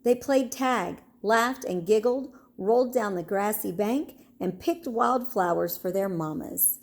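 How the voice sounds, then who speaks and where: A woman narrating a children's storybook in an expressive, storytelling manner. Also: medium pitch, unhurried.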